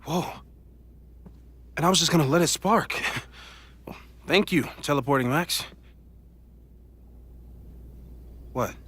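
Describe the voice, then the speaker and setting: A man acting a geeky, reassuring physicist friend in a video game, with an American accent and a thoughtful, gently joking manner. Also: a little high, moderate pace, husky. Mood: startled, amused. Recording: music under the voice. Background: game music.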